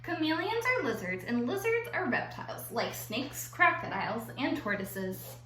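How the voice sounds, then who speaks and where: A woman schoolteacher reading aloud to young children and explaining an activity in simple, clear phrases. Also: sits high, sing-song, moderate pace, bright, forceful tone. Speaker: elementary school teacher. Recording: echoey room with faint background noise.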